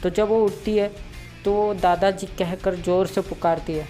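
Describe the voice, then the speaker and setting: A man's voice: high voice, fairly even intonation, normal speed, dark tone, soft background music. A teenage boy with a slightly sore throat, hosting his channel and casually recapping a cartoon episode with lots of fillers. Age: late teens. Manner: chatty, full of "matlab" fillers. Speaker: YouTube channel host.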